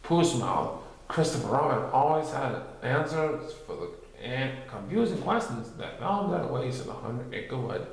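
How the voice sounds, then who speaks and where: A man's voice: mid-range voice, big pitch swings, normal speed. Man reading a story in a very echoey room. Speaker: story reader. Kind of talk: expressive children's storybook narration.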